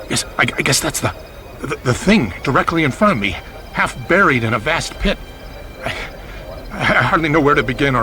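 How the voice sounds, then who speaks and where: A man playing an earnest, formal radio news reporter at a crash site, haltingly describing things in old-time live broadcast style. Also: mid-range voice, lightly acted.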